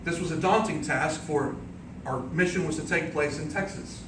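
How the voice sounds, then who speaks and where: Dramatic male storyteller in a roomy, distant recording. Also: on the high side. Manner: dramatic, declamatory, deliberate phrasing. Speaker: speech contest speaker. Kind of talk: theatrical storytelling speech, in the manner of an epic tale. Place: indoor room before an audience. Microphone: distant microphone. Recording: roomy, distant microphone, clearly noisy background.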